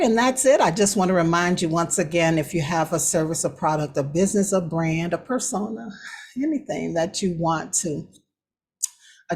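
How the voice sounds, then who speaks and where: A woman hosting a lifestyle talk show, speaking to listeners in an encouraging, conversational way with a husky voice. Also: low voice, a little hiss or hum. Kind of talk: motivational talk and sign-off to listeners.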